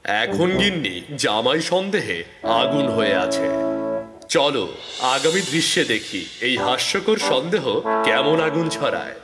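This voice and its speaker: A man narrating a cartoon story in a lively storytelling manner. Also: mid-range voice, measured pace. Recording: echoey, with loud music.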